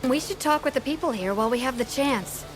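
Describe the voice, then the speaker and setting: High, curious expedition woman played by an actress. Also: quick pace, noisy background, lightly acted. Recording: loud music behind the voice. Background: music.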